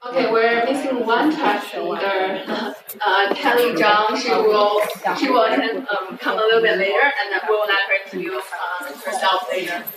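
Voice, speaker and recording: A woman's voice: mid-range voice, fairly even intonation, normal speed, hardly any pauses. A young woman from China who leads a student trip, introducing herself hesitantly with many fillers in front of a group. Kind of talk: info session introduction. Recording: echoey room from far off, crowd behind.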